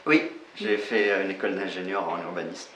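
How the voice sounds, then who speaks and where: A young man from Tunisia answers a documentary interviewer's questions. His voice sits somewhat low and he hesitates, filling pauses as he looks for words. The recording is roomy and a little distant, with a light hiss. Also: fairly even intonation, fairly bright tone. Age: 20s.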